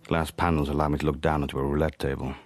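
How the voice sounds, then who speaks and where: A deep-voiced, wry secret agent. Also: male voice, little pitch movement, quick pace. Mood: brooding, wry. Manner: dry, sardonic, understated. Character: wry, world-weary Cold War secret agent, insubordinate. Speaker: narrator and lead spy. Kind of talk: radio drama first-person narration.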